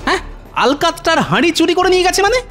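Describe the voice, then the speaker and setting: Folksy, quick-witted village trickster: animated cartoon voice, faint music behind. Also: male voice, very high voice, full character voice. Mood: puzzled, suspicious. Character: clever, curious village man, a sly trickster. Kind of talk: comic cartoon acting.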